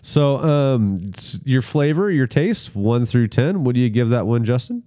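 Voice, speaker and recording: A man's voice: mid-range voice, expressive, a little slow, mellow, no echo, studio quality. A man hosting a casual beer-tasting podcast, easygoing and prompting his guests with questions. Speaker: podcast host.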